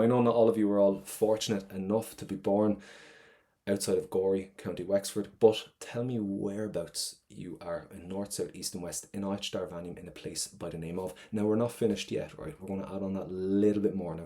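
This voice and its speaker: A middle-aged Irish man leads an exam prep lesson with lively, encouraging energy. His voice sits low and mellow. He keeps the room with him through frequent quick checks. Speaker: Irish language teacher.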